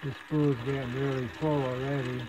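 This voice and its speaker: A man casually narrating a hands-on repair demonstration at his workbench, with an unhurried, folksy manner. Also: medium pitch, level tone. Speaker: hobbyist host demonstrating radio repair. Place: indoor electronics workbench. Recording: clear background machine whir and noise.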